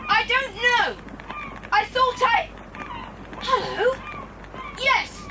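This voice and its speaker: A woman's voice: very high voice, sing-song, very slow, heavily acted. A woman voicing a plucky, watchful British boy in a children's adventure audio drama. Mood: alert, excited. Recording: hissy old cassette with long reverb.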